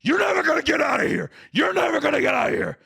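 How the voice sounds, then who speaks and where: A man's voice: very high voice, big pitch swings, quick pace, gravelly, bright, forceful tone, shouting, voice pushed up, exaggerated voice. A man voicing a brutal male soldier guard, barking threats at a prisoner. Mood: threatening.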